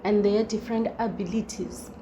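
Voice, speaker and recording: A woman's voice: mid-range voice, fairly even intonation, moderate pace. A South African woman whose first language is Xhosa, a guest and former teacher, chatting reflectively and repeating her key phrases. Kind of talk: casual chat. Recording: clear background noise.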